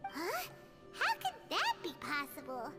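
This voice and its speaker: A woman voicing an excitable, cheeky, childlike little fairy companion in a video game, with a squeaky voice. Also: a little slow, full character voice. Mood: nervous. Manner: speaks of herself in third person, exclaiming. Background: music.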